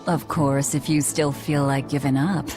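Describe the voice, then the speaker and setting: Woman playing brooding young game heroine, low voice, blunt, curt, deadpan, light music behind. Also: moderate pace, natural voice. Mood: dry. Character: blunt, dry, brooding young female fighter. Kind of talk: English video game voice acting.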